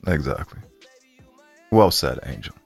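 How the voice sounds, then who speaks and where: Deep-voiced, animated man podcaster. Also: unhurried, gravelly, husky, soft background music. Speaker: podcast co-host. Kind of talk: casual podcast chat.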